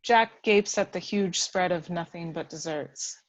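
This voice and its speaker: A woman's voice: fairly low pitch, unhurried, low-quality recording. A woman reading stage directions as scripted narration at a table read of a film script.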